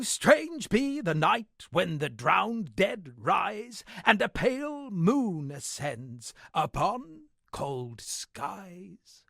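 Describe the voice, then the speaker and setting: A shipwrecked old-world captain who fancies himself a bard in a video game. His voice is rough with heavy creak and husk, recorded close and dry. He delivers florid, pompous lines with dramatic flourish. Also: high voice, a bit bright, dry recording, heavily acted. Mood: grandly reciting. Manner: florid, dramatic, alliterative declaiming. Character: eccentric male shipwrecked sea captain and pompous amateur poet. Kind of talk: theatrical video game character dialogue.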